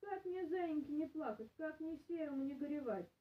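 Woman as plaintive little hare, high-pitched. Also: lively intonation, moderate pace, highs cut off. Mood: sorrowful. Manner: plaintive, lamenting. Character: small tearful grey hare, helpless and sorrowful. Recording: roomy, distant camera microphone.

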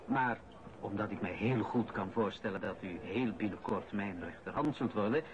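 An actor playing a calculating incoming director in a radio play, measured, formal and insinuating. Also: male voice, lowish voice, crowd noise, natural voice. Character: calculating, smooth-talking male incoming director pressuring a colleague. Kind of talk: radio drama acting, conversational. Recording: muffled old radio recording, noisy background.

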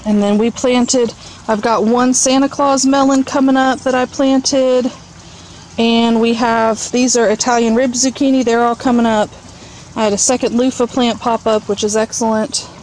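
A woman with a fairly high voice chats casually as she shows viewers around a backyard garden. She speaks off the cuff into a handheld camera, with clear outdoor ambient noise around her. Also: fairly even intonation, normal speed, a little mellow, poor audio. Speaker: home gardener giving a tour. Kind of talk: casual off-the-cuff vlog narration. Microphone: handheld camera mic, held by speaker.

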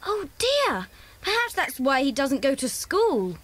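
High-voiced, earnest schoolgirl. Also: huge pitch swings, unhurried, fairly bright tone, heavily acted. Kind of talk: children's audiobook dramatization.

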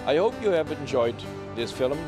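A man's voice: fairly high pitch, expressive. Formal older man over background music. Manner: measured, formal, with occasional hesitations. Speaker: society chairman presenting the organization. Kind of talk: scripted promotional address. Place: outdoors in front of a convention center.

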